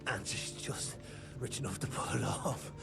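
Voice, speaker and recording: An actor playing a sly, talkative male arms-dealer informant, gravelly and hesitant, in a game cutscene. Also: normal speed, music in the background. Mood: conspiratorial. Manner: chattering, hesitant, shrill when panicked. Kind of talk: video game cutscene acting.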